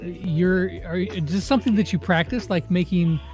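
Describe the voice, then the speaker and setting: A man's voice: sits high, normal speed, darkish tone, faint music behind. A man interviewing guests in a casual, joking, informal way.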